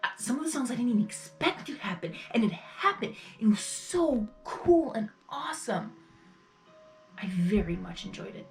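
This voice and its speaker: A woman's voice: on the high side, big pitch swings, normal speed, slight background noise. A woman giving casual live reaction commentary as a video host, effusive and rambling, often repeating her phrases.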